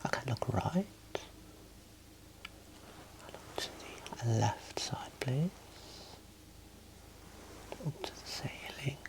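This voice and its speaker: A man in his thirties whispering softly and breathily in a calm, gentle ASMR roleplay as an examiner giving instructions, close to the mic in a small room. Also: mid-range voice, drawn-out words, pauses often, rough recording. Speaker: ASMR host playing an eye examiner.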